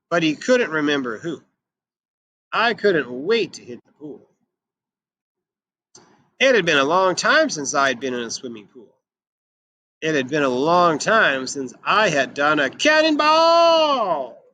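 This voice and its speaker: Male children's storybook reader, higher-pitched and bright, expressive and emphatic delivery. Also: a little slow, pauses often, slight background noise. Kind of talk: expressive read-aloud of a picture book for children.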